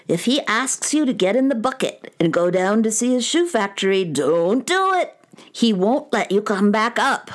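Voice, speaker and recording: A woman playing a brisk little male elf in a children's audio drama. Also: mid-range voice, very animated, lightly acted.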